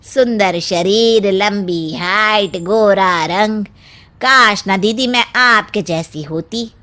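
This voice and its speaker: A woman voicing a wistful, flattering housemaid in a cartoon story, gushing and plaintive. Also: mid-range voice, slow. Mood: gushing admiration. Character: wistful, flattering housemaid who longs to be beautiful. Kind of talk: cartoon family story acting.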